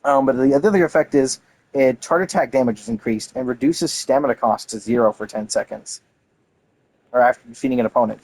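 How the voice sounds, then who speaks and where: A man's voice: medium pitch, a little fast, darkish tone, low-quality recording. A man reading game lore aloud and commenting casually and enthusiastically, with some casual swearing. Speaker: gaming content creator.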